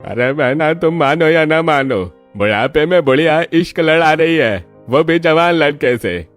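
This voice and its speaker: A man's voice: fairly high pitch, lively intonation, unhurried, faint music behind, voice pushed up. A man voicing a sneering elderly gossip in a cartoon story. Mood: mocking. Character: mocking elderly gossip in the park.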